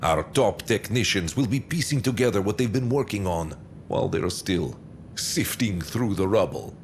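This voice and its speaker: A calculating, self-assured commander voiced by a man delivers a menacing game briefing. The low voice swings widely and theatrically between weighty pauses, over a faint noisy rumble. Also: animated, natural voice. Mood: smugly pleased. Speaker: military commander briefing a general. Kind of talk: scripted video game cutscene briefing.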